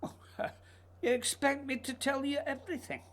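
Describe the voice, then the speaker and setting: A woman playing a proud, secretive male playwright in a radio play, speaking formally and deliberately in a husky voice. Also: high voice, level tone. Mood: guarded.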